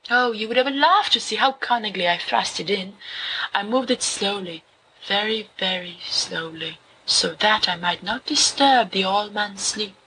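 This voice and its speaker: A woman voicing a young male wizard student who reads a horror story aloud to his friends, slow and hushed at times. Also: mid-range voice, slight background noise, poor audio. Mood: dramatic. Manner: slow, hushed dramatic reading. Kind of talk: audiobook fan fiction reading.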